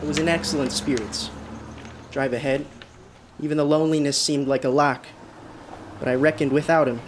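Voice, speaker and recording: A man acting a haunted man in his thirties who recounts his road trip in a radio drama, urgent and halting. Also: fairly high pitch, steady tone, background noise, natural voice. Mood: uneasy recounting.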